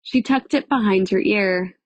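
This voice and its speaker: A woman's voice: medium pitch, measured pace, dry recording, muffled recording. Woman narrating fiction in a dry recording. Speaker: audiobook narrator. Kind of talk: scripted dramatic fiction narration.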